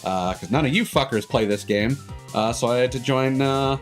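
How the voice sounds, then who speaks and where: A man's voice: mid-range voice, normal speed. A man chatting casually as he streams a game, rambling and self-deprecating, with some swearing. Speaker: live streamer. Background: cartoonish upbeat game music.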